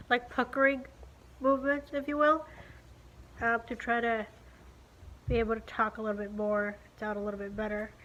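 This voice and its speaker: A woman's voice: a little high, flat delivery, moderate pace, long pauses, a bit bright. A young woman talking casually to a phone camera in her living room, her voice congested and her lips stiff after nose surgery. She rambles chattily, with many fillers. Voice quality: stiff-lipped, slightly muffled speech. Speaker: beauty vlogger. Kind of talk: casual video diary. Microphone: phone camera at arm's length.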